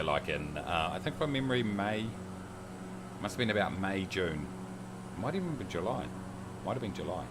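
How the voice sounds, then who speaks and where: A man's voice: rumbling low, very noisy. A man chatting casually on a livestream, joking and reading viewer comments aloud as he flies. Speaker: streamer. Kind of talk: casual livestream chat while flying a sim.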